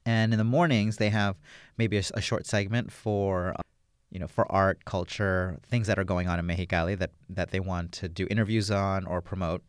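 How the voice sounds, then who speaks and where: A man's voice: low voice, little pitch movement, normal speed, smooth, no echo, studio quality. A man, a film director, talking through an interview in a rambling, enthusiastic way with many fillers. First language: English. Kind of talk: casual interview answers.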